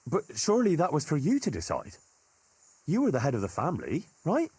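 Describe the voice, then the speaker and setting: An actor playing an earnest, curious young medieval man in a video game, plain-spoken and inquisitive, with a husky voice. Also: fairly high pitch, huge pitch swings, a little fast, darkish tone, no room sound, exaggerated voice. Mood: sympathetic. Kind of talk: video game cutscene dialogue.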